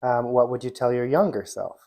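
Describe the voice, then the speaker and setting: Mellow-voiced man firing off questions. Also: mid-range voice, vocal fry. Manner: brisk, brief questioning. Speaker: interviewer. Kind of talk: quick-fire interview questions.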